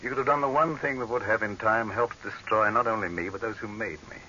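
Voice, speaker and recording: An actor playing a proud, tyrannical dictator in a theatrical 1930s radio drama style, declamatory and imperious. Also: male voice, on the low side, quick pace, pushed and bright, highs cut off. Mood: scornful, lecturing. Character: tyrannical, proud male dictator, cynical and desperate. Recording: hissy, band-limited 1930s radio recording.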